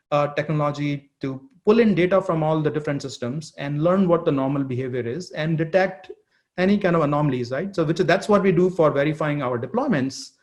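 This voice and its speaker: Higher-pitched man giving a halting product keynote. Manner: halting, often repeats words and phrases. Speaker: company chief executive giving a keynote. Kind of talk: product keynote presentation, semi-scripted.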